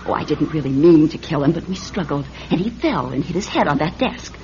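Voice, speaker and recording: A woman's voice: low-pitched, animated, brisk. An actress playing a mocking, unhinged woman with a murderous second personality, in a theatrical radio drama style. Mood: coolly confessing. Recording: dull, noisy radio drama recording.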